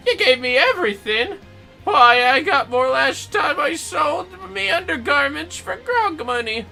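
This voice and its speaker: A man voicing a greedy, boastful old treasure hunter from a video game, with theatrical piratical bluster and drawn-out words. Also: very high voice, animated, gravelly, bright, forceful tone, faint music behind, pitched above the performer's own voice, exaggerated voice. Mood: excited, grasping.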